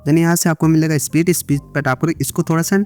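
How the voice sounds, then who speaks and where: Indian guy doing a screen tutorial, kinda high voice, music behind him, english words mixed in. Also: little pitch movement, fast talker, darkish tone. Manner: repetitive instructional phrasing with English words mixed in. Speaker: video editing tutorial creator. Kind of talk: step-by-step screen tutorial narration.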